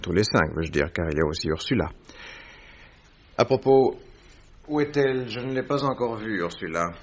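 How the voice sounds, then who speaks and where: A man playing a methodical, paternal detective in a French radio drama, questioning in a measured, soothing manner. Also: fairly low pitch, lively intonation. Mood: pensive, probing. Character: Victorian detective, methodical, probing, paternal gentleman. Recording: dull, noisy old radio recording.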